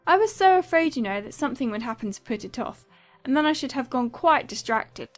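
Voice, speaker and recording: A woman's voice: sits high, lively intonation, a little fast. A woman playing a giddy, chattering young newlywed in a dramatized audiobook, gushing and rambling with thoughtless self-absorption. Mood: gossipy, aggrieved. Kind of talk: dramatized audiobook character acting.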